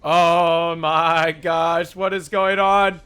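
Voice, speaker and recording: A young man with a raspy, sore-sounding voice, casually commenting on his gameplay, often breaking into excited yelps between low mumbles. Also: very slow. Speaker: gaming YouTuber.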